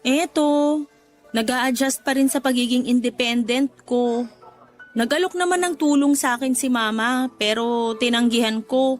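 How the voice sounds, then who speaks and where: Young filipina daughter, quite high voice, emotive, radio drama with faint music. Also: female voice, steady tone, measured pace. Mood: shy, apologetic. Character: hurt, apologetic young working daughter. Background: background music.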